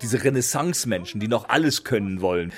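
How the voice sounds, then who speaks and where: An older man from East Germany explaining in animated, casual conversation, slipping into emphatic, theatrical quotation. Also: on the low side, a little fast. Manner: theatrical, quotes verses with emphasis. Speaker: interviewee.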